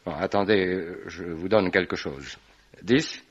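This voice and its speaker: An irritable, scared American man in a radio mystery play. His voice is low, gruff and suspicious, with hesitations, on a dull recording. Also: measured pace. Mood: uneasy, suspicious.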